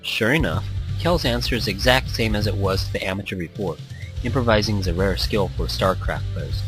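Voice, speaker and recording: Low-voiced man narrating a game, music behind. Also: fairly quick, rough recording. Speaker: let's play host. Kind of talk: reading visual novel game text aloud with asides. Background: background music.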